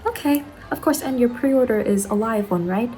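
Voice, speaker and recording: A woman playing an eager, apologetic young saleswoman in an ASMR roleplay, speaking softly, politely and a little hesitantly. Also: fairly high pitch, moderate pace, slight background noise, close to an everyday voice. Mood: apologetic, welcoming. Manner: soft-spoken, hesitant, polite customer-service talk. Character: Angeline, eager apologetic young saleswoman at macabre pumpkin stall.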